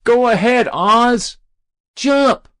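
A man voicing an eager young boy who shouts urgently to egg a friend on, in an audiobook. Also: extremely high pitch, lively intonation, drawn-out words, clear, resonant tone, pitched above the performer's own voice, full character voice. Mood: daring, urgent.

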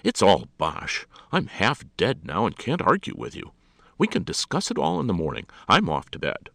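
Evasive male blusterer, played by a man: hurried excuses, sheepish protests, animated pitch with some gravel. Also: dry recording, full character voice. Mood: weary protest.

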